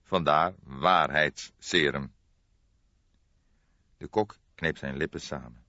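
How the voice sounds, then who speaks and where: A man reading a crime story as an audiobook narrator, in a measured storytelling manner. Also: extremely low pitch, sharp tone, no room sound, muffled recording.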